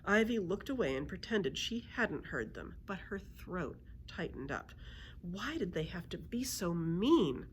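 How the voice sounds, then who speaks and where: A woman reads a children's story aloud as a librarian would. Her voice sits a little low and moves with lively, expressive intonation. Faint noise sits behind her. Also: a little slow, poor audio.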